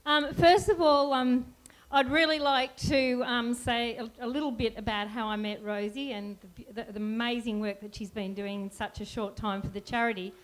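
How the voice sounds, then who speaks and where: A woman's voice: fairly high pitch, steady tone, moderate pace, rough recording. A woman from a charity giving a chatty speech at an event and telling how she met the people involved. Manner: chatty, tells it as a story. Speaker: charity representative.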